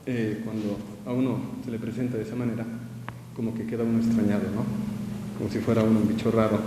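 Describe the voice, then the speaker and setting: A man with a Mexican accent, a priest giving a measured public lecture with rhetorical questions. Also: fairly low pitch, flat delivery, background noise. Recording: echoey hall with long reverb.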